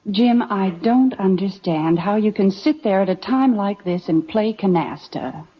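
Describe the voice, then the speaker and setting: An actress playing a patient, sensible suburban housewife who gently chides, in a 1950s radio sitcom. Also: female voice, mid-range voice, unhurried, close to an everyday voice. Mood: worried. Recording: dull vintage radio recording.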